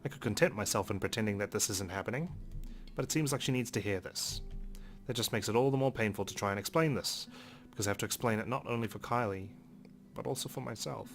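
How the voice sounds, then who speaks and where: Low-voiced man reading a visual novel. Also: quick pace, soft background music. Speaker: let's play gamer.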